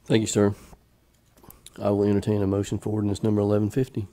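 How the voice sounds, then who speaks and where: The low, level voice of a man at the center of a town dais, moving the agenda along. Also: monotone, fast talker, dark tone. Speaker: mayor chairing the meeting. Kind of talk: formal procedural chairing. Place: council chamber. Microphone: desk microphone on the dais.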